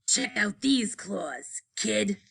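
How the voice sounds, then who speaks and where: A woman voicing a brash, touchy female monster in a storybook, raspy and snappish. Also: mid-range voice, animated, very slow, fairly bright tone, exaggerated voice. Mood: showing off. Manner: sassy, snappish. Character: brash, touchy, slimy girl monster named Cynthia. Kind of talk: picture-book read-aloud.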